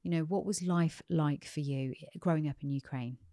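A woman hosts an interview podcast in a chatty, encouraging manner, full of small fillers. Her voice is very low for a woman, and the sound is dry and close. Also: moderate pace, warm tone, no echo. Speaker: podcast host. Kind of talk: interview hosting.

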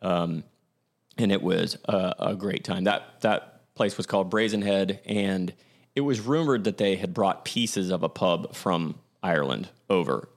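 A man's voice: sits low, measured pace. A man in his twenties hosting a show, rambling through personal stories with many fillers and self-corrections. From Ohio, USA. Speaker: podcast host. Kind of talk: casual storytelling monologue.

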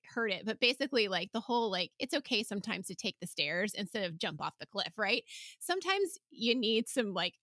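A woman's voice: mid-range voice, bright, forceful tone, no echo, studio quality. A woman podcast host interviewing casually, with chatty, encouraging remarks and long rambling questions.

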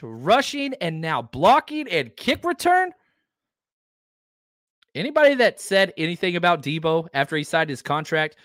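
A man's voice: high-pitched, a bit bright, no echo, studio quality. A man in his forties hosting a sports podcast, talking emphatically and repeating phrases for stress. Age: 40s. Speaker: podcast host.

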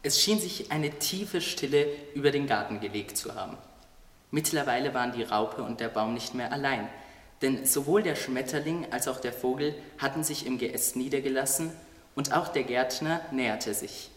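The sound is echoey and slightly distant, as in a hall. A man with a medium-pitched voice narrates a scripted story for a radio play. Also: normal speed.

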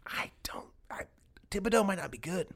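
A man's voice: high voice, animated, normal speed, gravelly. A man hosting a sports podcast in casual, comedic banter, arguing insistently and repeating himself for comic effect.

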